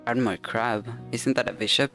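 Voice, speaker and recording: A man's voice: medium pitch, steady tone, normal speed. A man giving casual reaction commentary as a streamer playing a video game, with music behind him.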